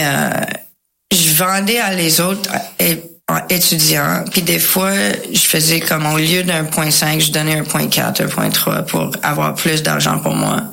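An English-speaking woman tells her personal story casually, with slangy English words mixed in and pauses to search for words. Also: sits low, creaky, bright, forceful tone. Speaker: guest sharing a recovery testimony.

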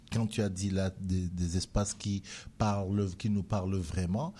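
A man hosting a radio interview, relaxed and conversational, with frequent hesitations. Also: fairly low pitch, a little hiss or hum. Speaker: radio show host.

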